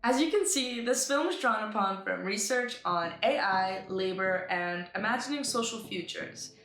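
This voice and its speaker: A woman giving an articulate, earnest scripted pitch as a filmmaker presenting her project, with some light asides. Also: lively intonation, moderate pace, fairly bright tone. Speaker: animator and writer pitching a short film. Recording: roomy echo with music behind. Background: music.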